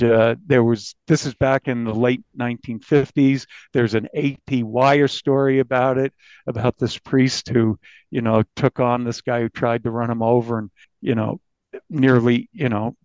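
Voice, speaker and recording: A man with an American accent recounting a case as an interviewed reporter, haltingly, often restarting his phrases. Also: medium pitch, darkish tone, no room sound. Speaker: newspaper reporter being interviewed. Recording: dull, dry lo-fi recording.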